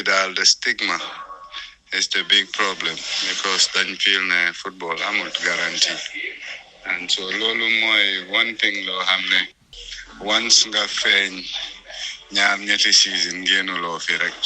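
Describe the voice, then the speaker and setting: A man's voice: deep voice, steady tone, measured pace. A man with a Gambian accent explaining his view in a casual discussion, switching between two languages. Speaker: panel discussion participant. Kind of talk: casual discussion, mixing English and Wolof. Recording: faint background noise, radio-like sound.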